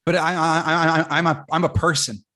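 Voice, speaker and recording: A man's voice: a little high, flat delivery, bright, forceful tone. A man playing an awkward, defiant guy turning dog-like, stammering in a comedy table read. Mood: defensive. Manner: stammering, halting.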